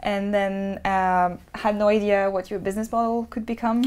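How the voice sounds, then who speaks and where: A woman's voice: mid-range voice, level tone, normal speed, darkish tone, clean tone. A woman interviewing a guest conversationally, building her questions hesitantly in short phrases.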